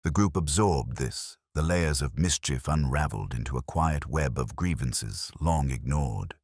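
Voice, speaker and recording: A man narrates a mystery story in a very deep voice. It is husky, with lively pitch movement and scripted storytelling delivery. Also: measured pace, no room sound, studio quality.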